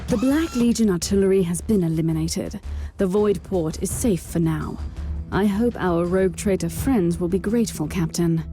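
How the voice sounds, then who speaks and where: A woman's voice: lowish voice, moderate pace, few pauses, mellow, faint music behind, close to an everyday voice. An actress voicing a stern, zealous female warrior inquisitor in a video game, cold and commanding.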